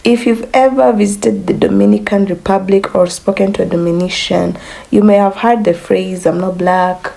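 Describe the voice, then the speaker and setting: A woman reading scripted video narration in a measured way, drawing out key words for emphasis. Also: medium pitch, fairly even intonation, gravelly, mellow, poor audio.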